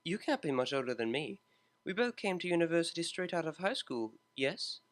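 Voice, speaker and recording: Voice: medium pitch, very animated, brisk, lightly acted. A reader voicing a refined young female cellist who speaks in formal, precise phrasing. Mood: curious. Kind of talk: fan fiction reading, character voice.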